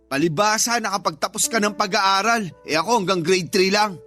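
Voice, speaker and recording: An actor playing a proud, insecure working-class young husband who argues defensively in a radio drama. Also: male voice, high voice, fairly quick, sharp tone. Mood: wounded, angry. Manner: dismissive, defensive, argumentative. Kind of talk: Tagalog radio drama acting. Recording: music bed behind the voice.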